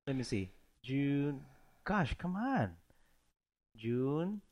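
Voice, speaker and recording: A man's voice: mid-range voice, very animated, drawn-out words, darkish tone, muffled recording. A man co-hosting a casual podcast, laughing often and rambling through restarts. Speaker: podcast co-host. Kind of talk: casual chat.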